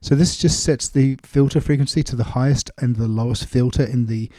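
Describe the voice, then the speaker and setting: A man giving a casual, step-by-step software tutorial, explaining things as he goes. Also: medium pitch, brisk, no echo. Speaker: music production tutorial presenter.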